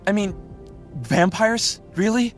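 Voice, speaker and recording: A man's voice: high voice, lively intonation, unhurried, faint music behind. A man playing an exasperated, self-important teenage class president in an audio drama, indignant and incredulous.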